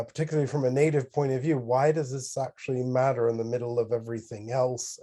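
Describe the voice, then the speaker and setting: Mellow-voiced man on a panel. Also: mid-range voice. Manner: earnest, with frequent restarts. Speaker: panel guest. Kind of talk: casual panel discussion.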